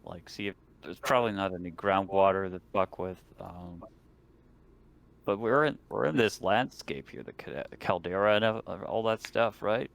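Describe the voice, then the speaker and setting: A man's voice: low-pitched, normal speed, gravelly, fairly bright tone, slight background noise. A man playing a tabletop game, musing aloud in casual, colloquial and sometimes sweary talk.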